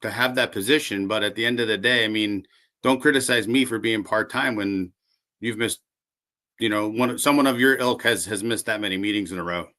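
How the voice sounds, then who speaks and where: A man running for city council, answering interview questions calmly and conversationally, with lots of fillers. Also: lowish voice, brisk. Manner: calm, conversational, many fillers.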